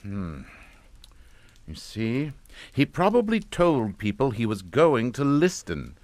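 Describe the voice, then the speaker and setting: Casting: controlling English patriarch in suspense radio play; clipped, commanding, measured pace, close dry sound. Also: male voice, medium pitch, wildly swooping pitch, a little mellow, no echo. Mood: stern, calculating. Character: stern, controlling English father, cold and calculating. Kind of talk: radio suspense drama acting.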